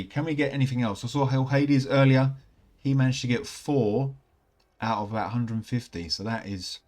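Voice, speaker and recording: A man, slightly croaky from a cold, chatting casually and rambling as he opens game packs for his channel. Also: mid-range voice, a little mellow. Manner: chatty, upbeat, rambling with many fillers. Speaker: gaming channel host. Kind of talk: casual commentary while opening game packs.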